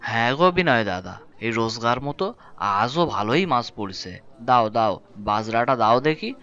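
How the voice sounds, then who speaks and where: A man playing a simple, cheerful village boatman in an animated folk tale, with a habit of muddling his words and correcting himself. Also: medium pitch, normal speed, clear, resonant tone, dull audio. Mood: friendly. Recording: music bed under dialogue.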